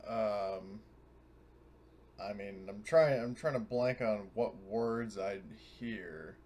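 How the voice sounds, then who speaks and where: A man's voice: fairly low pitch, slow, darkish tone. A man talking casually as a player in a tabletop role-playing game.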